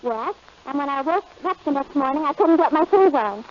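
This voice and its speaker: High-voiced woman deadpanning on old radio. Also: a little fast. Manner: deadpan wisecracks. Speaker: comedy sidekick. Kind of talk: 1930s radio comedy. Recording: dull lo-fi old radio recording with faint hiss.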